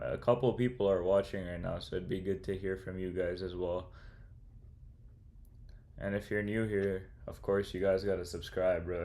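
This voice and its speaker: Deep gravelly young man, laid-back. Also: a little mellow. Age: young adult. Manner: laid-back, slangy, calls viewers bro, thinks aloud. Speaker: streamer and computer science student. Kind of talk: casual livestream, solving a coding problem and reading chat aloud.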